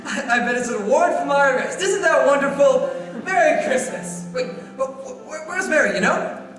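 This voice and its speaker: A male performer playing an overjoyed, excitable and affectionate family man in a school stage radio play. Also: very high-pitched, moderate pace. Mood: giddy joy. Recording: distant echoey theater stage, music behind.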